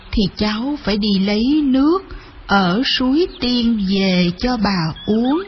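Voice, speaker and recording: A woman voicing a kindly, solemn fairy in a children's tale, gently instructing in a measured way. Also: mid-range voice, lo-fi sound, slight background noise, lightly acted.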